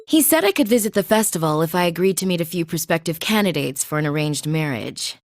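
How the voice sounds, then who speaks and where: Suave, flirty noblewoman, lively and dry-miked. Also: fairly low pitch, fast talker, no echo. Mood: amused, casual. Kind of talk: anime game dub acting.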